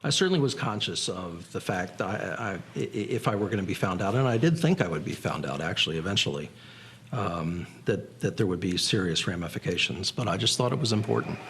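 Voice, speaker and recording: An American man giving a reflective documentary interview, speaking haltingly. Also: deep voice, expressive, fairly bright tone, a little hiss or hum. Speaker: interviewee, former government attorney. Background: background music.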